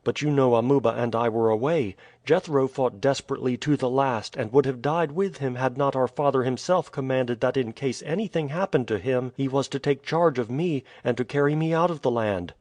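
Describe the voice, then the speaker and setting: A man's voice: mid-range voice, a little fast, few pauses, darkish tone, no echo, voice pushed up, lightly acted. An earnest, grieving young man who speaks in a solemn tone, a little raised from the reader's own voice, as a male narrator puts him on. Dry, close reading with no room sound. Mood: grave.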